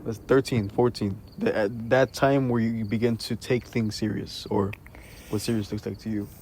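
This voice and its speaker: A man's voice: fairly low pitch, moderate pace. A twenty-one-year-old man in casual, unscripted conversation, often repeating the starts of words as he puts questions and thoughts together. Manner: stammering, repeated word starts. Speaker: conversation host asking questions. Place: outdoors in an empty parking lot. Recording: steady outdoor insect noise. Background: crickets and night insects.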